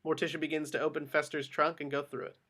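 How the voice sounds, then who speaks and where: Fairly high-pitched man reading stage directions. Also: little pitch movement, fast, pushed and bright. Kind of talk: scripted narration at a table read.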